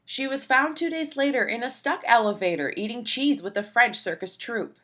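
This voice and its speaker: A woman narrating a rhyming children's storybook aloud in an expressive, rhythmic, dramatic way. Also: on the high side, normal speed. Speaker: storybook reader.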